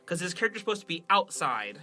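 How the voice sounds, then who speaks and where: A man's voice: sits high, very animated, fast talker, bright, forceful tone, soft background music. A man hosting a podcast in casual chat, speaking in an emphatic, plain-spoken, informal way. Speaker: podcast host and audio drama producer.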